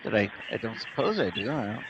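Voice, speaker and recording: A middle-aged man with a gravelly, fry-touched voice spins dry, chatty anecdotes. His sound is slightly dull and lo-fi, with a faint hiss underneath. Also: on the low side, very animated, quick pace. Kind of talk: casual storytelling.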